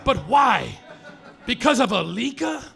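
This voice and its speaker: An actor playing a bumbling, melodramatic private detective, narrating in a spoofed hardboiled style and bursting into shouted outbursts, on a live theater stage. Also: male voice, extremely high pitch, big pitch swings, a little slow, gravelly, full character voice. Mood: frantic. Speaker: actor in a live comedy audio play.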